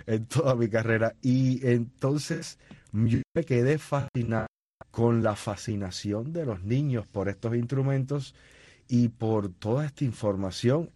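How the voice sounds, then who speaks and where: A man's voice: mid-range voice, dark tone, no echo. A man being interviewed about his music and school shows, telling long stories with frequent restarts and fillers. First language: not Spanish? no. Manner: storytelling with many restarts and fillers. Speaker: musician guest. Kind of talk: conversational interview.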